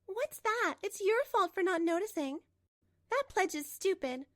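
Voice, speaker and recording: Woman putting on a shrill, brazen mistress. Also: animated, unhurried, a bit bright, no echo, clean studio sound, exaggerated voice. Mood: indignant.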